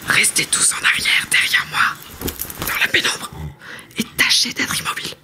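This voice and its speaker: A woman playing an excitable, bossy time traveller in an audio comedy serial, theatrical and dropping English words into her French. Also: normal speed, in a whisper. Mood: urgent, hushed.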